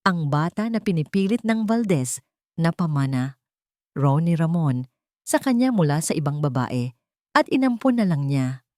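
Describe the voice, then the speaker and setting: A woman storyteller reading a scripted, dramatic narration with measured phrasing. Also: sits low, lively intonation, darkish tone, no room sound, clean studio sound.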